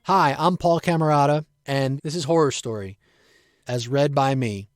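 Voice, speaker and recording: A man's voice: medium pitch, normal speed, slight background noise. A man reciting his own playful rhymed poem. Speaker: poet reading own work.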